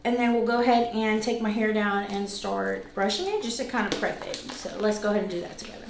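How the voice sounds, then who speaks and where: A woman's voice: medium pitch, a little fast, vocal fry. A woman of about forty chatting casually and informally to viewers as a vlog host reviewing products. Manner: informal, conversational, self-deprecating asides. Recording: echoey room, faint background noise.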